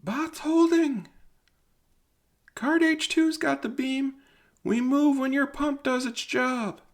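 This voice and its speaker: A man's voice: extremely high pitch, slow pace, voice pushed up, exaggerated voice. A man voicing an urgent mine worker calling up from a shaft in an audio drama, with a strained delivery. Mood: strained, steady.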